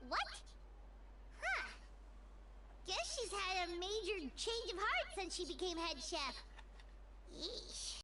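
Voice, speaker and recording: Super high squeaky fairy companion from a game, childlike n blunt, really bouncy. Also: female voice, sing-song, slow pace, long pauses, over loud music, heavily acted. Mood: curious, puzzled. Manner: exclamatory, speaks of self in third person. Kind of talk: video game character acting.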